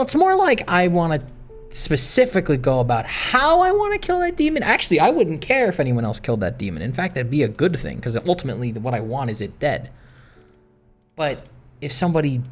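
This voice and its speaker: A gaming video host giving a casual, introspective monologue, rambling and reflective, often circling back to restate a point. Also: mid-range voice.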